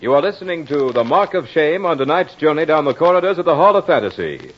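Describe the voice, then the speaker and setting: A man's voice: a little high, very animated, quick pace, a little hiss or hum. A man announcing a radio programme in a formal, emphatic, scripted old-time style. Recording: dull old radio recording with music.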